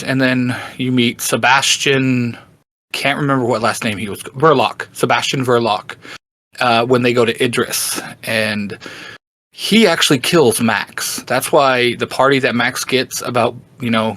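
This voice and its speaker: A man's voice: medium pitch, fairly even intonation, measured pace, a bit bright, no echo, rough recording. A man chatting casually as a podcast guest, explaining story lore in an informal, enthusiastic way. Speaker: podcast guest, fantasy book fan.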